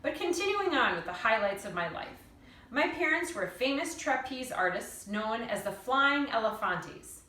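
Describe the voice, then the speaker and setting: A woman reading a children's novel aloud like a teacher, with animated, dramatic storytelling and emphasis on key words. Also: on the high side, moderate pace. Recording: roomy echo, distant mic.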